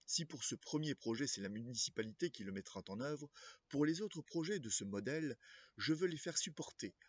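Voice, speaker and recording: A man with a husky, mid-pitched voice delivers a scripted political address as a local candidate. His delivery is formal and measured, with an occasional stumble over a word. The recording is dull and lo-fi, like a homemade video. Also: no echo.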